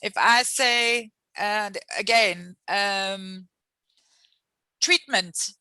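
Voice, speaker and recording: Bright-voiced woman teaching English online. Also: mid-range voice, extremely slow, clear, resonant tone, no room sound. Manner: patient, encouraging, explains words with examples. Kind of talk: online English lesson with students.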